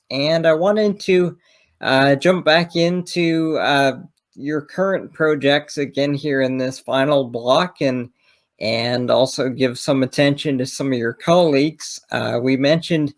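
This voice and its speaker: Slow-paced male interview host. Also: mid-range voice. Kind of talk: conversational interview questioning.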